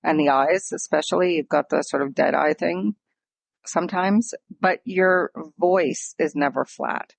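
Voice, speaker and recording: A woman's voice: low-pitched, unhurried, vocal fry, no echo. A woman co-hosting a casual podcast, explaining and clarifying points in conversation. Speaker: podcast co-host.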